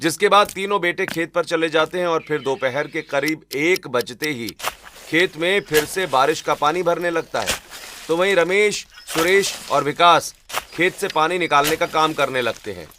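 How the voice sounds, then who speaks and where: A man narrates a family cartoon in scripted storyteller style. His voice is a little high for a man, and there is clear rain noise behind it. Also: moderate pace. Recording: loud rain ambience behind voice.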